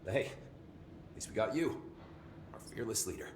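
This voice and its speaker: A wry, worn-down security chief from a video game. His voice is on the low side, with an informal sardonic edge. It echoes in the space over clear background ambience. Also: expressive, normal speed, noisy background. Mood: sardonic, resigned. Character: wry, weary male security chief under siege. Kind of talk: video game cutscene dialogue.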